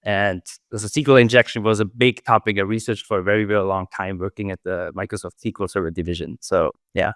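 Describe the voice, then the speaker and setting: Middle-aged Austrian guest, German accent, lowish voice, thoughtful, restarts sentences, close dry studio mic. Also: male voice, fairly even intonation, fast, few pauses, dry recording. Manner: thoughtful, restarts phrases, trails off softly. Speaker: security researcher guest. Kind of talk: casual interview answers.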